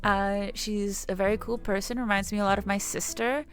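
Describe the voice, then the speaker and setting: A woman's voice: mid-range voice, fairly even intonation, normal speed. A young woman chatting casually as a game streamer, rambling and swearing now and then; her native language is Samayach. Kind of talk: casual gaming stream chat.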